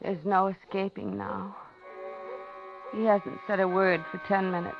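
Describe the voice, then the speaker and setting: A woman's voice: mid-range voice, level tone, unhurried, vocal fry. A woman in peril narrates her own story in 1950s radio thriller style. Her mid-range voice is dramatic and confiding, with music on a dull old recording. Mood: dread, resigned.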